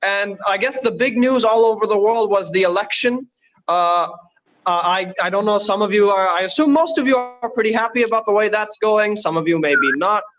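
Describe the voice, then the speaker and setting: A man's voice: high voice, normal speed, fairly bright tone, rough recording. A man from Bangladesh doing conversational stand-up, hesitant and understated. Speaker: stand-up comedian.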